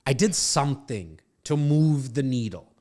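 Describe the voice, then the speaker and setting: A man's voice: medium pitch, animated, unhurried, dark tone. Brash, profane man coaching in studio. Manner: brash, profane, pep-talk delivery. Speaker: podcast host and real estate coach. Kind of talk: casual mentoring chat. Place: home studio.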